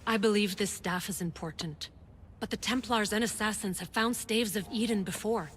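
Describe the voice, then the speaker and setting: A woman's voice: medium pitch, normal speed, fairly bright tone, natural voice. An actress playing a caring but firm woman doctor who keeps a colleague's health in check. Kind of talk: game cutscene acting. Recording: long-reverb room with faint noise.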